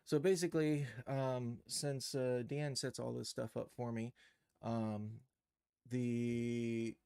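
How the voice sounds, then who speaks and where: A man giving an unscripted software tutorial on a livestream, halting and thinking aloud as he works. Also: medium pitch, gravelly, dry recording, studio quality. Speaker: livestream host and game developer.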